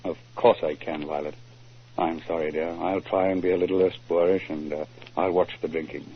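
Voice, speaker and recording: An actor in a 1940s radio drama, playing an educated gentleman with a clipped, theatrical delivery. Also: low-pitched, steady tone, a little fast, husky. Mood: contrite. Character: bitter, hard-drinking writer husband; also coolly incisive gentleman detective. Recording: muffled lo-fi 1940s radio recording.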